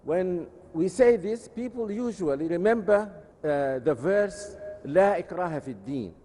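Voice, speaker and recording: A man with an Arabic accent giving an Islamic lecture, explaining deliberately and repeating phrases for emphasis. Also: high voice, animated, warm tone. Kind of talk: religious lecture with scripture quotations. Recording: noisy, echoey hall.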